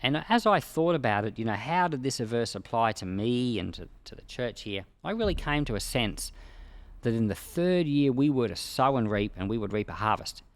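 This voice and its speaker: Male pastor, mid-pitched, conversational Bible teaching in plain words, dry recording. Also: lively intonation, normal speed, no room sound. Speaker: pastor and Bible podcast host. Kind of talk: informal Bible commentary and teaching.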